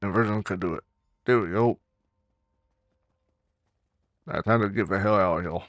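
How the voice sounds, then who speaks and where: A man giving casual, halting gameplay commentary, muttering as he plays. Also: on the low side, no room sound. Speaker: gamer recording a playthrough.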